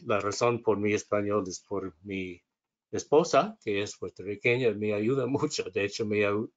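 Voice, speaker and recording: Low-voiced man, hesitant. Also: lo-fi sound. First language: English. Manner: hesitant, self-correcting, reading from notes. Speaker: instructor. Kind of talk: webinar lecture.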